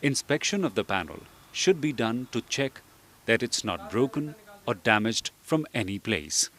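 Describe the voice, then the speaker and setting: A man reading scripted instructional narration for a training film in a measured, didactic way. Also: medium pitch, very animated, a bit bright. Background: background music.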